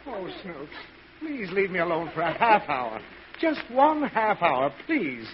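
A frazzled, short-tempered dad in a vintage radio comedy. His high voice swings widely as he groans and sputters in exasperation. It is a muffled, noisy old recording with an audience. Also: very animated, moderate pace, a crowd in the background. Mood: exasperated. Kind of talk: 1940s radio comedy acting.

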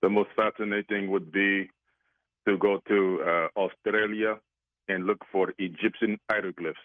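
A man's voice: deep voice, fairly even intonation, a little slow. A man disguising himself with a put-on Greek accent, giving short, evasive answers as a mystery guest on a call-in radio show. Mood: coy. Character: male caller with a fake Greek accent. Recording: tinny phone line.

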